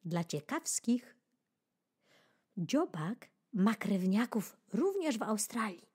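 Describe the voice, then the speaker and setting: A woman narrating a children's nature book in an expressive audiobook style, with playful, dramatic pauses and emphasis. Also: mid-range voice, slightly rough. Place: studio. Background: keyboard music.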